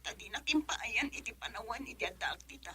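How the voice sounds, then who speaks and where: An actress with a Filipino accent playing an anxious, tearful young wife working abroad in a radio drama. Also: female voice, fairly high pitch, expressive, fairly quick, pushed and bright, slight background noise. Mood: worried. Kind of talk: Ilocano radio drama acting.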